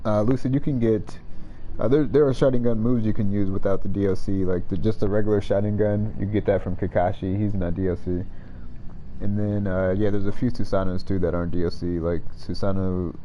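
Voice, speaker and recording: Laid-back, low-voiced male game streamer. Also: steady tone, a little fast, warm tone, dull audio, slight background noise. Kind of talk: casual live stream chat while gaming.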